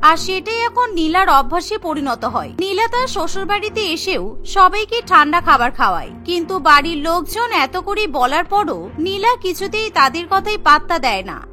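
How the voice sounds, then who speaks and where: A woman's voice: very high-pitched, sharp tone. A woman giving scripted narration for a cartoon story. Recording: music behind the voice.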